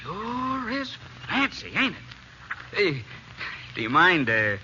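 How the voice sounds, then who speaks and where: An actor playing a small, cocky, talkative American blacksmith in a 1950s radio western, folksy and excitable. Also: male voice, high-pitched, slow pace, exaggerated voice. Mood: gleeful, impressed. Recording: noisy, muffled 1950s radio recording.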